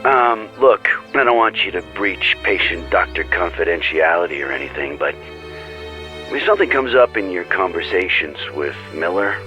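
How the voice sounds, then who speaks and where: A deep, gruff male sheriff in a video game drama. He is hesitant yet insistent and suspicious, heard over a dull phone line with faint music. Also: lively intonation, normal speed, pushed and bright. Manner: hesitant, probing.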